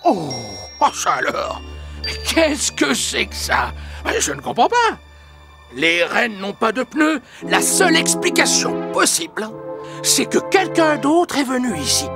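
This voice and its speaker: An actor playing a jolly, flustered old Father Christmas in a cartoon, excitable and full of dithering exclamations. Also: very high-pitched, measured pace, gravelly, exaggerated voice. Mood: worried, panicked. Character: jolly but flustered old Santa Claus. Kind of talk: animated cartoon dialogue. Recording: music behind the voice.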